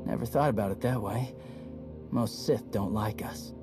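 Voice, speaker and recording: A man's voice: lowish voice, normal speed, natural voice. A man playing a stoic, battle-weary mercenary soldier in a video game, blunt and plain-spoken. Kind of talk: video game cutscene acting. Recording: music behind the voice.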